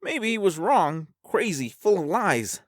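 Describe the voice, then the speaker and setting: Man putting on a high, folksy stranded spaceman. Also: sing-song, a little slow, no room sound, studio quality, exaggerated voice. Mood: insistent. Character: high-voiced drawling spaceman, weary, resigned, philosophical castaway. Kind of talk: science fiction audiobook character voice.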